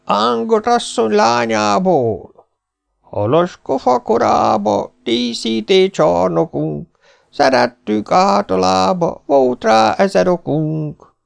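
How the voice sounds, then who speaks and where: A man's voice: high-pitched, animated, gravelly, long pauses, a little mellow, clean tone, voice pushed up, heavily acted. A man voicing a theatrical street singer who hawks song booklets in a showy, declaimed, singsong manner. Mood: showy performing.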